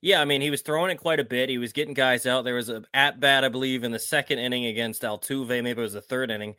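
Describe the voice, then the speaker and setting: A male co-host talks through sports analysis in a conversational way. His voice is bright and mid-pitched, recorded close and dry. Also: fairly even intonation, quick pace, no echo.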